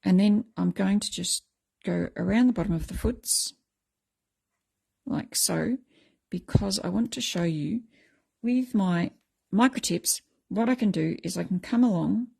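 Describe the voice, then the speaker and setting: A middle-aged woman with a croaky voice, chatting casually as she explains a craft technique step by step. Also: mid-range voice, measured pace, pauses often, darkish tone. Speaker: craft tutorial host.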